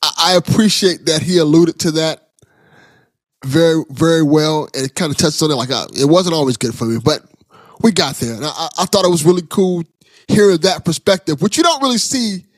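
A man with a high-pitched voice hosts a relaxed conversation. He sounds appreciative and a little halting, repeating words as he begins. The recording is dry. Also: dry recording. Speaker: podcast host. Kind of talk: casual interview chat.

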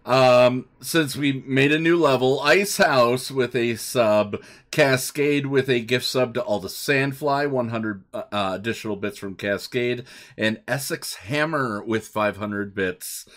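Male podcast host, average pitch, informal conversational delivery for a gaming talk show. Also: unhurried, fairly bright tone. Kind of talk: casual hosted discussion with listener shout-outs.

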